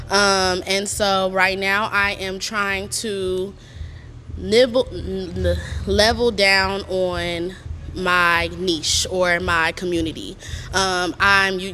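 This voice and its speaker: A young woman chiropractor, speaking earnestly on a panel with frequent pauses. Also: fairly low pitch, sharp tone, a little hiss or hum. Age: young adult. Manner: earnest, filler-heavy, deliberate. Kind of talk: panel talk.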